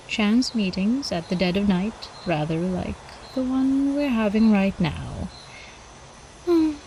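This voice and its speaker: Lady acting as an old vampire countess for asmr, slow soft mellow murmur, refined and teasing, crickets behind. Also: mid-range voice, a little hiss or hum, rough recording. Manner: slow, refined, coyly teasing, formal old-fashioned diction.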